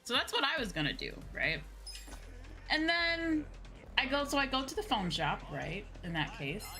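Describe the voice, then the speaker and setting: A young woman chatting casually on a game livestream, rambling, expressive and informal, swearing freely. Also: high voice, moderate pace. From outside Japan. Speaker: VTuber game streamer. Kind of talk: casual livestream chat over a video game. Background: game music.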